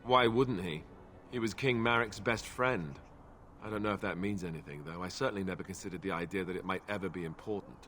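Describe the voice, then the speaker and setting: Fantasy game companion: young warrior, lowish voice, hesitant, humble and drily self-mocking. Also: male voice, a little fast, a bit bright, soft background music. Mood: earnest, sheepish. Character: young male warrior, self-deprecating commoner Grey Warden, wry. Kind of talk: fantasy video game dialogue.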